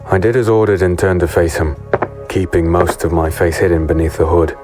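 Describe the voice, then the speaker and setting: An actor playing a wary hooded rogue, narrating in the first person in a fantasy audio drama, low-key and brooding. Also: male voice, level tone, fairly quick, close to an everyday voice. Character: wary, hooded male rogue narrating his own infiltration. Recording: loud music bed behind voice.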